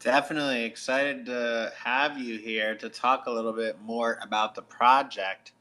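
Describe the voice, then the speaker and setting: A man's voice: mid-range voice, steady tone, normal speed, sharp tone. A man hosting an upbeat promotional interview for a live radio show and podcast.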